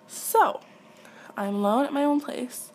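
A woman's voice: high-pitched, measured pace, slight background noise, rough recording. A young adult woman from Quebec whose first language is French, chatting casually and hesitantly in a candid, giggly, rambling way. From Quebec, Canada. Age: late teens, young adult. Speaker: audio creator answering listener questions.

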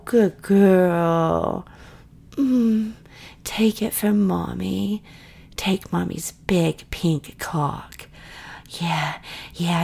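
Slow, husky whispering woman with heavy fry. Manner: soft, coaxing and doting, slipping into whispers; breathy sighs and low murmurs. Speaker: audio roleplay performer. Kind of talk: intimate whispered audio roleplay.

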